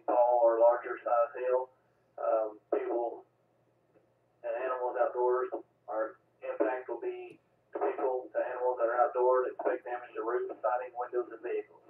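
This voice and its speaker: A man acting as net control on an amateur radio net, reading storm warnings and calling stations in a flat, procedural way. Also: medium pitch, recorded from a distance. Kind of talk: radio net reports and check-ins. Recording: tinny radio transmission heard from afar, echoey.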